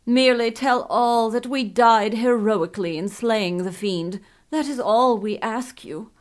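A woman's voice: fairly high pitch, measured pace, pitched above the performer's own voice, natural voice. A woman voicing a florid, devoted nobleman in a fantasy story, with pompous, archaic, flowery phrasing. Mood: noble resolve. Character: florid, gallant, lovestruck nobleman, devoted and haughty. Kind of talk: fantasy audiobook character acting.

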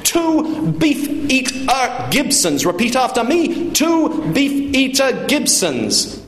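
A man reading a short story, voicing a boisterous, sarcastic father who barks at waiters with loud, pompous mock politeness. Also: high-pitched, expressive, slow pace, vocal fry, pitched above the performer's own voice, heavily acted. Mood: sarcastic, condescending. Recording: roomy, noisy live room.

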